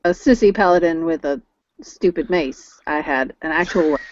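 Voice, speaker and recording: A woman with a slightly low voice talks casually in a group chat. The sound is dry and a little dull. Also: normal speed, no echo. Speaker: radio play cast member on a wrap-up discussion.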